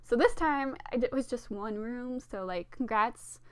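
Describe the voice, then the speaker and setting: A young woman with a sore throat, slightly hoarse, casually narrating a game build in a rambling, self-deprecating way with lots of fillers. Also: fairly high pitch, normal speed, no echo. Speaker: Sims speed-build narrator. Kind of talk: casual voice-over commentary.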